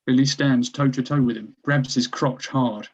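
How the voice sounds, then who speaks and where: A man's voice: mid-range voice, steady tone, normal speed, lo-fi sound. A man reading stage directions as scripted narration for a screenplay table read.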